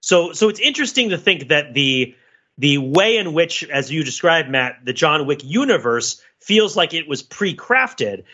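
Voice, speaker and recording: A man's voice: on the high side, very animated, unhurried, bright, forceful tone, dull audio. A man co-hosting a podcast, casually analysing film in a discursive way, peppering his points with filler phrases like "you know". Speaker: podcast co-host.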